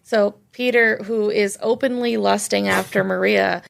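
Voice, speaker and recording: A woman's voice: medium pitch, fairly even intonation, unhurried, vocal fry. A woman co-hosting a podcast, going through a film in a casual, wry, informal way, part chat and part read summary. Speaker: podcast co-host.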